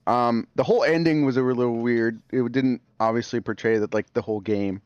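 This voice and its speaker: A man's voice: mid-range voice, fairly even intonation, fairly quick, darkish tone, clean tone, no echo, lo-fi sound. A man chatting casually as a podcast guest, rambling with lots of fillers and restarts.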